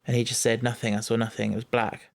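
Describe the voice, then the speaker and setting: Man, podcast co-host, casual chat, middle pitch, thinks aloud, restarts often. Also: level tone, fast talker, gravelly.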